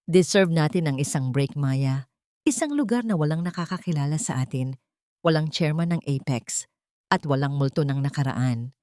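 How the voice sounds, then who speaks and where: A woman's voice: sits low, normal speed, dark tone, no room sound, studio quality, natural voice. A woman with a Filipino accent voicing a tender, devoted husband in a romantic audio story, earnest and soothing.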